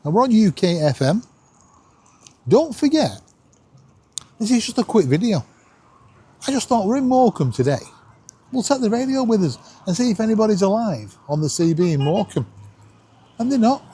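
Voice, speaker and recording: A man's voice: sits high, big pitch swings, normal speed, pauses often, dark tone, slight background noise. A man, chatting casually to camera as a vlog host in a jokey, self-deprecating way.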